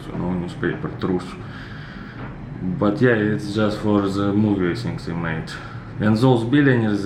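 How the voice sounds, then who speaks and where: A man in his thirties with a Russian accent chats about a film clip in a loose, rambling way, full of fillers. His voice sits low and his delivery is unhurried. The room is echoey, with a steady noise in the background. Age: 30s. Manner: relaxed, rambling, many fillers. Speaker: reaction video host. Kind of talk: casual commentary.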